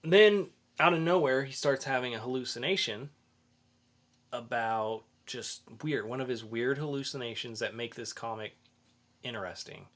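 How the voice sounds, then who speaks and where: A man hosts a casual podcast with a bright, forceful voice at an average pitch. He talks informally and rambles through his retellings, swearing freely as he reviews. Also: moderate pace. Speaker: podcast host and comic reviewer.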